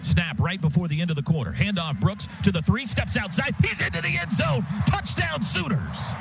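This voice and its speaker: An American man calling live football on the radio in clipped phrases, lifting with excitement as plays open up. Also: sits high, moderate pace, creaky, over loud music. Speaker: radio play-by-play announcer. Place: stadium radio booth. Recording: loud stadium noise behind broadcast booth. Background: loud outdoor stadium ambience.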